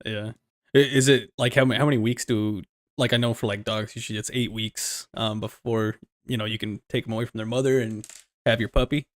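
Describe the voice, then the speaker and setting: A man's voice: lowish voice, fairly even intonation, brisk, no room sound, studio quality. A young man from the United States co-hosting a gaming podcast in a laid-back, chatty way, laughing often. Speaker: podcast co-host. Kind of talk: casual gaming podcast chat.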